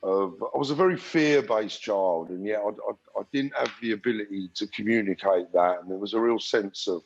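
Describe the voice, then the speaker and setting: A middle-aged man who grew up in Algeria and England, reflectively telling his story in an interview with many restarts. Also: lowish voice. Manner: reflective, frequent restarts and fillers. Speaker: podcast guest. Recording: dull lo-fi sound.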